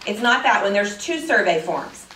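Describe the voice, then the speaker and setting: A woman's voice: medium pitch, lively intonation, normal speed, slight background noise. A woman, giving a friendly, chatty talk to parents as a professional organizer. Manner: friendly, chatty, says she is naturally loud. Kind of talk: casual presentation to parents. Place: school event room. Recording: echoey room from far off.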